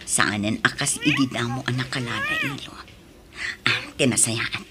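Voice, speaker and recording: A woman playing an ailing, remorseful wife and mother in an Ilocano radio drama, with an Ilocano accent and a plaintive, breathy, pleading delivery. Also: extremely low pitch, huge pitch swings, normal speed, very noisy, exaggerated voice. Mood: self-conscious, fretful.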